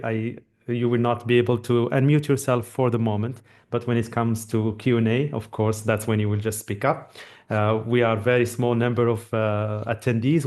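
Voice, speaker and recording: Man, 30s, from Morocco; upbeat webinar host, mid-pitched, chatty, lots of fillers, computer mic. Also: darkish tone. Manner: friendly, encouraging, with frequent fillers and restarts. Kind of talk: live online webinar presentation.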